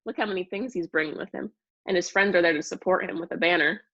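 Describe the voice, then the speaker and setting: A woman teacher giving an expressive read-aloud lesson to young children, explaining and asking questions as she goes. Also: lowish voice, quick pace, highs cut off.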